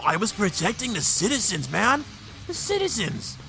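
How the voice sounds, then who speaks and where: Michelangelo, a goofy turtle. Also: male voice, sits high, huge pitch swings, normal speed, creaky, sharp tone, soft background music, full character voice. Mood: playful. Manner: playful and teasing. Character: goofy, excitable young male ninja turtle.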